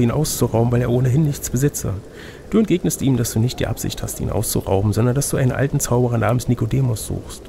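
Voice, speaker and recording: Male gamebook narrator, medium pitch, creaky, faint noise behind the voice. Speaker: reader and game master. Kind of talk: reading a gamebook aloud with casual asides.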